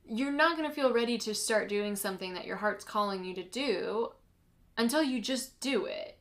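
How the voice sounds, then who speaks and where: A woman's voice: on the high side, moderate pace, a bit bright. A woman from the United States whose first language is Polish, hosting a casual solo podcast as a business coach, chatty and earnest with lots of "like" and "you know".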